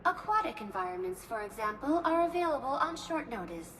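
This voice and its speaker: A high-pitched female hospital receptionist from a video game, with a lively, swooping melody. She is polite and efficient, laying out procedures in formal, precise phrasing. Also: brisk. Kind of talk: scripted informational game dialogue.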